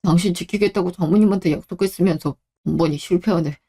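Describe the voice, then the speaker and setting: A woman's voice: on the low side, fast, warm tone, slightly rough, pitched below the performer's own voice. A woman voicing a protective adult husband in a radio drama, with a firm, blunt and earnest delivery. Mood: apologetic. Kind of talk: Korean radio-drama story acting.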